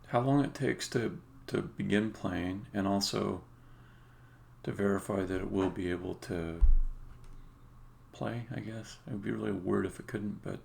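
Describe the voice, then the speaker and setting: A man live-streaming game development, thinking aloud in halting, muttered, exasperated asides, unscripted and talking to himself. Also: lowish voice, vocal fry, a little mellow, slight background noise.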